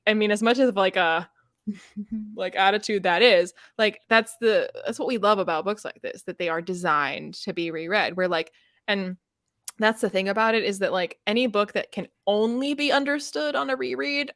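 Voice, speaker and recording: Female book-chat host, mid pitch, dry close sound, casual and sweary, says "like" a lot and voices imagined reactions. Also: normal speed, fairly bright tone, no echo. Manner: frequent "like", sweary, acts out imagined reactions in quotes. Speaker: host of a book readalong discussion. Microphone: close microphone on a live video chat.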